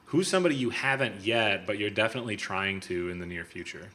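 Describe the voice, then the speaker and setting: A man in his thirties hosting a casual podcast chat. His voice is bright and forceful on the lower side of the usual range, and he speaks earnestly and with conviction. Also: quick pace. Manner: earnest, opinionated, talks in long runs. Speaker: podcast host. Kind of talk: casual conversation.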